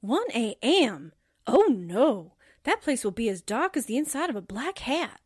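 A woman's voice: fairly high pitch, sing-song, a little slow, a little mellow, husky, dry recording, studio quality, full character voice. A woman playing a folksy, anxious newspaper sidekick in a 1940s-style radio drama. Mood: alarmed.

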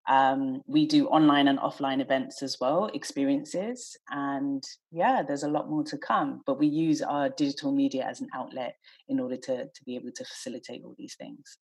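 Low husky woman, Portuguese native speaker. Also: steady tone, normal speed, a little mellow. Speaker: guest, platform founder. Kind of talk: casual podcast chat.

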